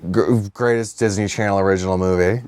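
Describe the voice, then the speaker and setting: A man's voice: sits low, fairly even intonation, fairly quick, a little mellow, clean tone, no room sound. A young man co-hosting a podcast in casual comedic banter, swearing freely as he riffs. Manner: crude, profanity-laden, riffing. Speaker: podcast co-host. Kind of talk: casual comedic banter about comics and movies.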